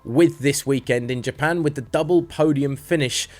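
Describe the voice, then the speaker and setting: A male sports news presenter delivers scripted motorsport narration. His voice sits slightly higher than average for a man, and he reads as a presenter reporting the news. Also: normal speed, a little mellow.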